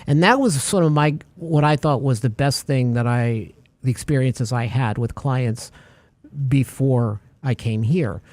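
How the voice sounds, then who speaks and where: A man's voice: mid-range voice, measured pace, dry recording. A man hosting a radio talk show, chatting casually and acting out little stories as he goes. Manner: animated storyteller, acts out little scenes.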